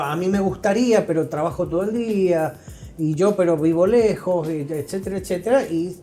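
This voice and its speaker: Mellow Argentine man explaining, faint music behind. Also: a little high. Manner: didactic, checks with short tag questions. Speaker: interview guest, psychologist. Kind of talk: explanatory conversational interview.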